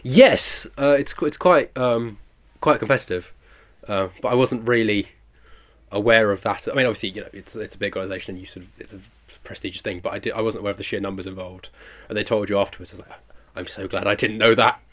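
A man's voice: fairly low pitch, quick pace, fairly bright tone. A British man in his twenties being interviewed as a producer, chatting casually in a modest, rambling way and correcting himself. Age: 20s.

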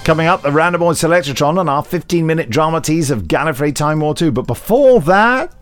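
A man's voice: fairly high pitch, lively intonation, a little fast, no echo, soft background music. A man co-hosting a podcast who chats in a jokey, playful way with mock-posh asides. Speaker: podcast co-host. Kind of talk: casual podcast chat.

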